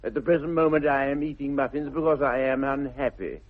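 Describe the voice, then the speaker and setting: An actor playing a flippant, idle young English gentleman with an upper-class accent and airy, mock-earnest wit, in 1960s radio comedy style. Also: mid-range voice, a little fast, close to an everyday voice. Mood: blithely self-satisfied. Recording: muffled narrow-band vintage radio recording.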